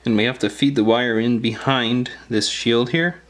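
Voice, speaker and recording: A man's voice: mid-range voice, steady tone, moderate pace. A man giving casual, step-by-step hands-on instructions in a plain-spoken, informal way. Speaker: repair tutorial host.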